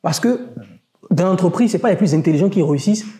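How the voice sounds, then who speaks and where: Male entrepreneur, West African accent, mellow tone, emphatic motivational podcast talk. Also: high voice, animated, quick pace. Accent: West African French. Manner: emphatic, motivational, addresses listeners directly. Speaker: online business entrepreneur. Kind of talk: casual podcast conversation.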